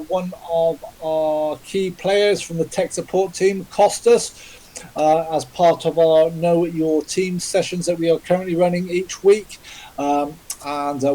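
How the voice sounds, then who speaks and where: A man with a fairly high but mellow voice hosts a live podcast over voice chat. He speaks politely and formally, with many "um"s and "uh"s. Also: low-quality recording. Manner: polite, formal, filler-heavy. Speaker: podcast host, interviewer. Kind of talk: hosting a live podcast interview. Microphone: remote voice chat call.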